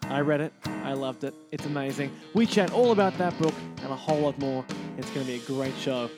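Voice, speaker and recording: A man's voice: mid-range voice, a little fast, over music. A man with an Australian accent hosting a podcast and interview in an enthusiastic, presenterly way. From Adelaide, Australia. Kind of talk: upbeat podcast introduction and casual interview.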